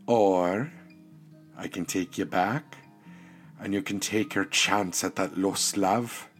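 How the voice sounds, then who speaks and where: A man voicing a kindly, solemn goddess of death, soothing and measured, in a storybook tale. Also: low voice, fairly even intonation, soft background music. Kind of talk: storybook character acting.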